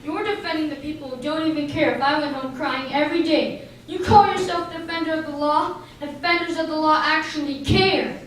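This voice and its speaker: Bitter, vengeful villain played by a woman, high-pitched, amateur radio drama, very echoey. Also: a little fast, close to an everyday voice. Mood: bitter, menacing. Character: Peter, vengeful bitter male murderer holding a grudge. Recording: very echoey hall, distant mic, faint noise.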